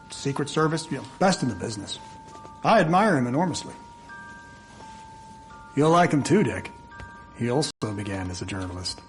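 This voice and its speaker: A confident male counterintelligence chief in a radio drama. His delivery is clipped and assured, with lively swings of pitch over faint music. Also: rough recording.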